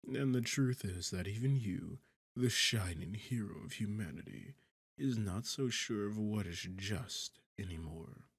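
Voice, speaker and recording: Man voicing a gravelly, sardonic demon king. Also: deep voice, no room sound, heavily acted. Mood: coaxing, knowing. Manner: sardonic, condescending, teasing, smooth-talking. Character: sarcastic, seductive male demon king, arrogant and bored. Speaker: audio roleplay performer. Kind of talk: intimate ASMR fantasy roleplay monologue.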